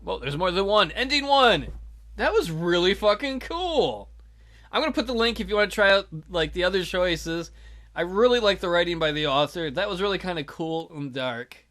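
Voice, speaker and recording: High-voiced man reading a game aloud. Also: animated, moderate pace, fairly bright tone. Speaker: let's play host. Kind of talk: dramatic read-aloud of a visual novel, with casual asides. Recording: music behind the voice.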